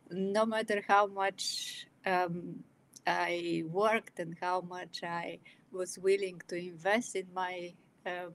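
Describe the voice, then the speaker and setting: A woman in her sixties from Bulgaria, speaking English with a Bulgarian accent, telling her life story as a guest with many pauses and fillers. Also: medium pitch. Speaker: guest, illustrator and author. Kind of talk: personal storytelling in an interview.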